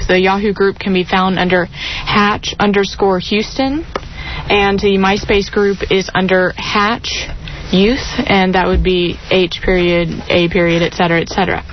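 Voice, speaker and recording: Young woman, 20, giggly co-presenter, mid pitch, lo-fi radio. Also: little pitch movement, a little hiss or hum. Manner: chatty and giggly, slows for details. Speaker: youth group presenter. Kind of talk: casual radio talk. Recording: dull lo-fi radio broadcast.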